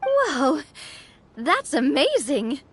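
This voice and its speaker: Sincere young game heroine, high lively voice, polite, encouraging. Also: female voice, extremely slow, dry recording, full character voice. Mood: amazed. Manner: polite, thoughtful, earnest. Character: earnest, encouraging young lady adventurer.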